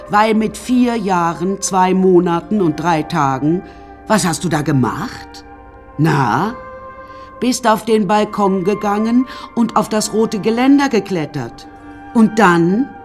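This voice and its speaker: An actress playing a vain, self-dramatizing woman artist who pompously narrates her life to listeners in a radio play. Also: lowish voice. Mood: scornful.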